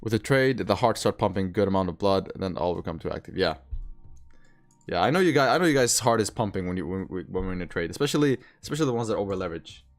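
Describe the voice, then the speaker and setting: A man's voice: sits low, expressive, fast. A young man from Sweden, with roots in Eastern Europe, who learned to speak with an American accent. He hosts a trading livestream, chatting casually with viewers, using slang and repeating his phrases. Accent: learned American English. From Sweden, originally Eastern Europe. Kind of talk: casual live-stream chat reading comments.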